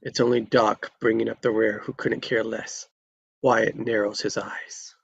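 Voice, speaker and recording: A man's voice: on the low side, flat delivery, a little slow, gravelly, husky. A man narrating scripted stage directions at a live table read, on a dull recording.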